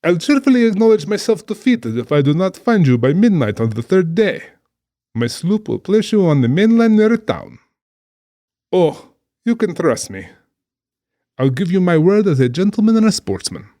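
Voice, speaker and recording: Suave, menacing aristocratic general, wildly expressive. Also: male voice, high voice, normal speed, dry recording, clean studio sound. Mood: gleeful, menacing. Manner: genteel, theatrical, condescending. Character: suave, cultured aristocratic general, genially menacing big-game hunter. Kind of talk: dramatized audiobook acting.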